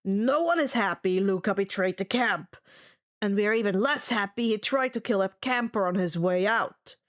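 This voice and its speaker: A gruff male camp director, played by a woman reading a story, curt and commanding. He sounds irritable and dismissive, giving orders as storybook dialogue. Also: medium pitch, moderate pace, lightly acted. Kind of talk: audiobook-style character dialogue.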